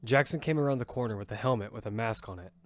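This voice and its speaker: A man narrating an audio drama in first person as its lead, telling the story with quick urgency over music. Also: medium pitch, no room sound, natural voice.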